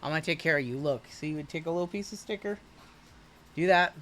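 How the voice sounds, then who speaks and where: Hyped live-stream card break host, a man with a high, bright, strained voice calling out cards. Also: quick pace, a little hiss or hum. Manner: hyped, joking, calls out cards as they are pulled. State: voice going hoarse from shouting. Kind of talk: livestream card break commentary.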